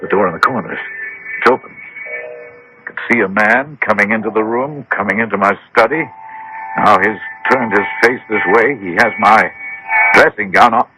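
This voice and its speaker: An actor playing a headstrong, agitated gentleman in a radio drama, speaking insistently with dramatic, halting exclamations. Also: fairly low pitch, natural voice. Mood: awed, horrified. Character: headstrong, agitated gentleman demanding to know his fate. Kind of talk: 1970s-80s radio drama acting. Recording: old radio broadcast, faint noise.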